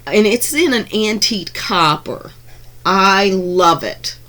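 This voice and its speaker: Chatty woman gushing over beads, slightly hoarse. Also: medium pitch, expressive, slow, pushed and bright. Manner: chatty, gushing, with frequent ums and restarts. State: slightly hoarse from being under the weather. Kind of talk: casual unboxing narration. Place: home craft room.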